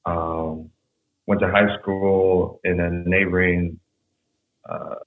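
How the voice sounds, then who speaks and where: A young man, a podcast guest, telling his story in a relaxed, rambling way. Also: sits low, takes it slowly, faint music behind. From San Jose, California. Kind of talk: casual conversation. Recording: tinny phone-like echoey sound.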